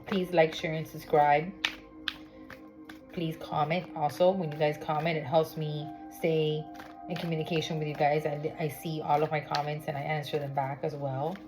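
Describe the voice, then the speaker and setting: Miami woman doing tarot, low voice, lots of "okay?" and ums, music going behind. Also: little pitch movement, normal speed. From Miami, United States. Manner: chatty, with many fillers and "okay?" check-ins. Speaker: tarot reader. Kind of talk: casual spiritual reading vlog. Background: background music.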